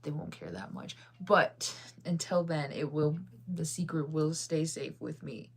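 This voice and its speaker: A woman's voice: sits low, measured pace, creaky, slightly rough. A woman chatting casually with her viewers, rambling through stories with lots of fillers and frequent laughter. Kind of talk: casual chat.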